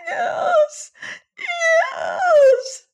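A woman plays a tiny, desperate alien in a storybook reading, his voice thin and squeaky, almost a whimper. He pleads in stiff, over-formal phrases, pitched far above a normal speaking voice. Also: animated, slow pace, darkish tone. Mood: anguished. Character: small pitiful male squirrel-like alien, humble and desperate. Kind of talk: audiobook character voice.

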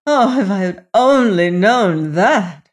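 A woman's voice: mid-range voice, takes it slowly, natural voice. A woman voicing a guilt-stricken, fearful wicked queen and stepmother in a storybook, moaning and lamenting in exclamations. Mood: shocked.

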